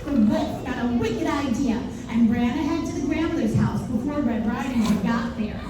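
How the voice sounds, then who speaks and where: A woman's voice: fairly high pitch, normal speed. A woman narrating a fairy tale live for a children's puppet show. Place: indoor preschool room, live show. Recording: distant, echoey, noisy room with audience. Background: audience chatter and room noise.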